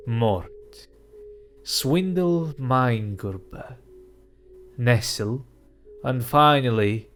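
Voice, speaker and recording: A man reading a dark fantasy story aloud as narrator, slow and measured. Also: medium pitch.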